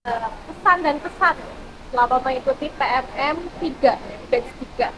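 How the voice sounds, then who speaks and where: A woman's voice: high-pitched, normal speed, noisy background. A woman hosting a talk show, casually prompting guests with short, informal questions. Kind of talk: casual interviewing.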